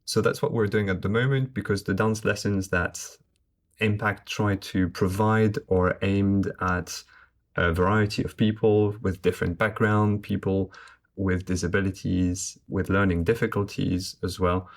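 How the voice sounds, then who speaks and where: Low-voiced man. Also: steady tone. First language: French. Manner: measured, explanatory. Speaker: guest translator. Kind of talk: conversational podcast interview.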